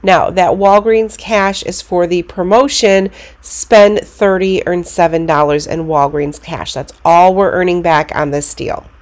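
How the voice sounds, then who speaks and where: A congested woman hosting a coupon channel, narrating a screen walkthrough of shopping deals in a brisk, practical way. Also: fairly low pitch, fairly even intonation. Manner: explains steps in a brisk, practical way.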